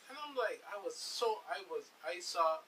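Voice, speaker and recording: A man with a bright, slightly high voice tells stories in an emphatic, colloquial way. He sits a little back from the mic, and a light hiss runs under the recording. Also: sing-song, moderate pace. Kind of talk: casual personal storytelling. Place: radio discussion with several people. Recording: hissy, distant-sounding studio talk.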